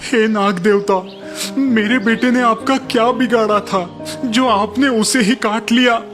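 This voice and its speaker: Honest, helpful cartoon father, earnest villager, male actor, soft music bed. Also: high voice, fairly even intonation, a little slow. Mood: distraught. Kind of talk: animated moral tale dialogue.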